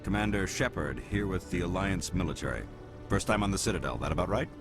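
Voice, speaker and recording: A man's voice: low voice, expressive, fast, over music. A man playing a polite, businesslike shop clerk on a space station in a video game.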